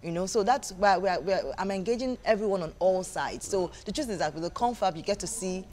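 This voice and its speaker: A woman's voice: mid-range voice, fast, a little mellow. A woman with a Nigerian accent speaking as a guest in a conversational interview, earnest and repeating phrases for emphasis. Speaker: interview guest, stage play director.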